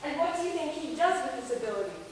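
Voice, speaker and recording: Schoolgirl as bold young horror author, teasing stage storyteller, high voice, heard far off in a reverberant hall. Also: lively intonation, fast talker. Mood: intense, building. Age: child or young teen student. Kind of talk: stage reading of a gothic radio play. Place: large echoing school performance space. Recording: echoey hall from far off, faint noise.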